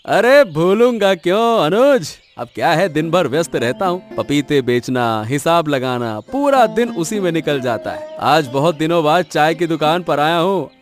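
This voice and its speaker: A high-voiced, easygoing farmer husband from a cartoon moral story, acted by a man. He is sentimental and good-hearted, exclaiming expressively over faint background music. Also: lively intonation, measured pace, few pauses. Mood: friendly.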